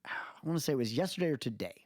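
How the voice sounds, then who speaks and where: A man's voice: mid-range voice, animated, very fast, a little mellow, no echo. Man, podcast co-host, casual conversation, emphatic repetition, dry close-miked sound. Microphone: close mic on a remote recording call.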